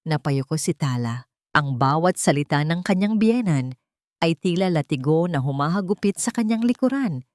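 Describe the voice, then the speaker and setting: A woman narrates a dramatic romance story from a script. Her voice sits a little low for a woman. The sound is dry, with no room echo. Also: lively intonation, normal speed, darkish tone.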